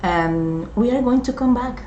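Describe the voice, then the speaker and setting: A middle-aged woman from Puerto Rico, with a Puerto Rican accent and Spanish as her first language, talking in a slow, halting way with many fillers. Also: a little high, animated, warm tone, a little hiss or hum. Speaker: co-host. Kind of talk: casual chat. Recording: dull, echoey room recording.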